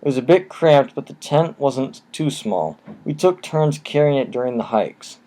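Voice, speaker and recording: A man narrating creepy first-person tales at a mid-range pitch. He reads in a steady, low-key way, and a cheap microphone colours the sound. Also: moderate pace, a little hiss or hum, poor audio. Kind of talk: reading horror stories aloud.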